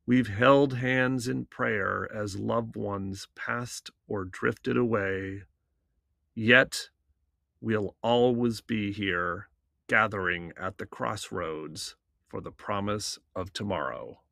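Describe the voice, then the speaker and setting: Slow-paced man reciting poetry, gravelly edge. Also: lowish voice, fairly even intonation, a bit bright, no echo, studio quality. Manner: measured, deliberate phrasing. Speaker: poet laureate reading his own poem. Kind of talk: poetry recitation with spoken introduction.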